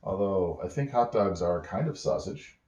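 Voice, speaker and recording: A man improvising a bedtime story in a casual, halting way, pausing mid-sentence as he goes. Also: low-pitched, animated, a little mellow, highs cut off. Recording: roomy, echoey home recording.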